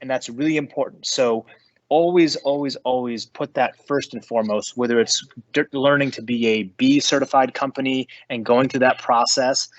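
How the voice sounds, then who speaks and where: A man's voice: mid-range voice, fairly even intonation, normal speed, no echo, muffled recording. A man giving earnest, emphatic advice as an investor on a panel over an online call. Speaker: investor and business adviser on a panel.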